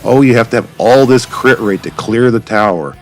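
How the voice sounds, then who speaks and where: A man's voice: medium pitch, normal speed. A man giving casual, rambling gameplay commentary as a gaming YouTuber, wordy and informal. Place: voice-over on game footage. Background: music.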